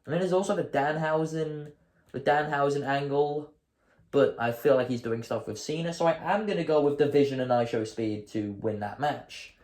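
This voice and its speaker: A hoarse man hosting his own show, chatting casually and thinking aloud, often changing his mind mid-sentence. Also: medium pitch, moderate pace, a little mellow, reverberant. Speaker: host. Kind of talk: casual solo commentary.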